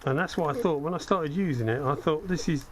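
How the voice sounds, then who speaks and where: A man with a slightly croaky, cold-affected voice giving a casual hands-on demonstration, thinking aloud as he works. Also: a little high, fairly quick. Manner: conversational, self-deprecating asides, thinking aloud while working. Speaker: hobbyist host demonstrating spray gun equipment. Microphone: head-mounted action camera. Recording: steady background noise from workspace.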